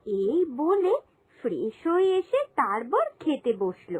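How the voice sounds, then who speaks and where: Very high-pitched woman storyteller. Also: very animated, slow pace. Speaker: narrator. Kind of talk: scripted storytelling narration for a moral cartoon.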